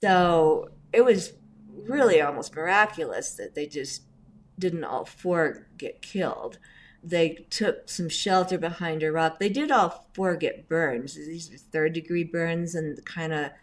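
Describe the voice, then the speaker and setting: Middle-aged woman with a low voice, grew up in indonesia, chatty storyteller in an interview. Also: takes it slowly. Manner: chatty, animated storyteller with asides. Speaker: lawyer and interview guest.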